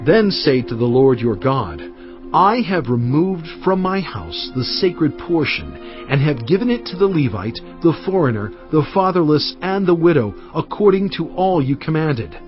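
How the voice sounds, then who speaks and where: A man playing a solemn, commanding Hebrew prophet and lawgiver in a dramatized audio Bible, declaiming deliberately to his people. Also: on the high side, sing-song. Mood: solemn, earnest. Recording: dull band-limited audio over music. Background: background music.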